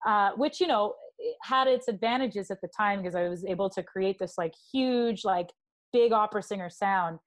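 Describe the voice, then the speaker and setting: Chatty young woman with a low voice. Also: normal speed. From Canada. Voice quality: low speaking voice. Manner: chatty, loose, heavy with filler words. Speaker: guest singer. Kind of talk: casual podcast chat.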